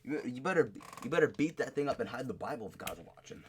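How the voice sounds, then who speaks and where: A man doing live reaction commentary in casual slang, excitable and prone to repeating his exclamations. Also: medium pitch, fast talker, slight background noise. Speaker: streamer reacting to a horror video.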